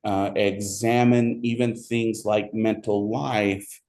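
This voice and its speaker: A man giving a psychology lecture, rambling with many fillers and restarts, his voice squeaky today. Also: little pitch movement, slow, darkish tone, slight background noise. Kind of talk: classroom lecture. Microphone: recorded for online video.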